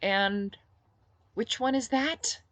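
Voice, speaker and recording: A woman's voice: on the high side, very slow, very noisy, voice pushed up. A woman voicing a battle-weary, blunt rebel captain, a woman, in an audiobook story. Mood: tender. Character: weary, sharp-tongued woman rebel captain, tender with children.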